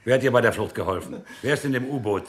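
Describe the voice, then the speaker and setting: An actor playing a sly, bullying military interrogator in a radio drama, mock-friendly and then sharply commanding. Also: male voice, mid-range voice, expressive, quick pace, slight background noise, lightly acted. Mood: menacing, insistent.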